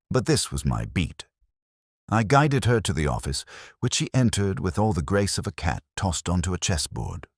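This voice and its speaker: A man tells a detective story in an extremely deep voice that moves widely up and down. The recording is close and dry. His delivery is scripted, dramatic and wry. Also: no echo. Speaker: narrator and host of a mystery story channel.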